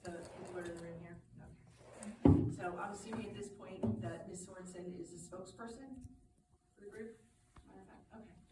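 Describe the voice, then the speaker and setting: A woman's voice: lowish voice, steady tone, a little fast, long pauses. Woman chairing a meeting, very echoey, noisy. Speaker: meeting chair, township supervisor. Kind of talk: procedural meeting talk. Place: public meeting room. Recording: very echoey, noisy room, distant mic.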